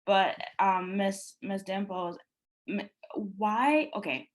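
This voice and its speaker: A woman's voice: mid-range voice, steady tone, takes it slowly. A woman from Guyana moderating a panel, enthusiastic and full of hesitant fillers.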